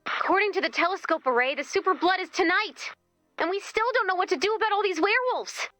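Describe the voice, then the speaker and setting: A woman's voice: extremely high pitch, expressive, fast talker, sharp tone, dry recording, full character voice. A woman playing a peppy, encouraging young mission leader in a video game, chatty and coaxing. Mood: planning. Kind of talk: video game character voice acting.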